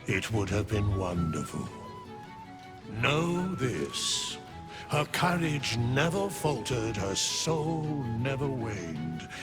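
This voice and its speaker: Game sage: undead, all-knowing, grave, creaky voice with expressive swings, music behind. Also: male voice, medium pitch. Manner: grave, archaic, measured pronouncements. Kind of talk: video game character acting.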